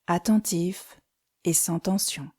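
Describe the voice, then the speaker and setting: Woman doing guided relaxation, soft dark mellow tone, calm n soothing, leaves pauses between phrases. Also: lowish voice, slightly rough. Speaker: yoga nidra instructor. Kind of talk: guided meditation.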